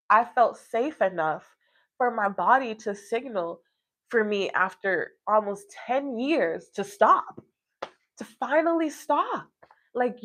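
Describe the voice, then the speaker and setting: A woman talking casually and reflectively to livestream viewers, rambling and emphatic, often checking that her listeners follow her. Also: on the high side. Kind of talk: casual personal livestream chat, reflective and motivational.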